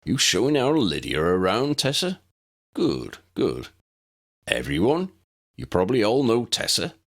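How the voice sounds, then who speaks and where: A man's voice: lowish voice, wildly swooping pitch, measured pace, gravelly, a bit bright, voice pushed up, heavily acted. A man voicing a big, jovial, rustic gamekeeper teacher in an audiobook, hearty and folksy. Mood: hearty, welcoming. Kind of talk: audiobook character voice.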